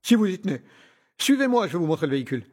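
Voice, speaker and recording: A man's voice: high-pitched, big pitch swings, very fast, darkish tone, slightly rough, exaggerated voice. A man playing a cunning, courtly scientist villain in an audio drama, speaking in a formal, theatrical, condescending way with veiled menace. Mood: solemnly persuasive. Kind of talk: scripted audio drama acting.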